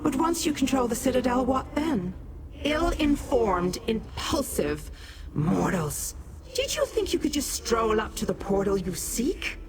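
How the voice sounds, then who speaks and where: A woman's voice: fairly high pitch, very animated, a little slow, background noise. A woman playing a haughty, condescending immortal schemer in a fantasy video game, with a theatrical manner. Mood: scornful. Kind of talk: video game character acting.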